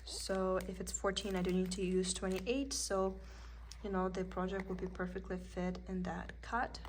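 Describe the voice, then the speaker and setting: A woman's voice: mid-range voice, little pitch movement, moderate pace, slight background noise. A young woman from Russia with a Russian accent, chatting casually and thinking aloud as she shows off her cross-stitch haul at a table. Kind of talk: casual show-and-tell haul. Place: indoors at a table.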